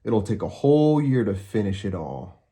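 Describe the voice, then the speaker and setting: A man's voice: lowish voice, sing-song, normal speed, warm tone. Man putting on a scheming bratty wicked boy. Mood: scornful. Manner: snarling, scoffing.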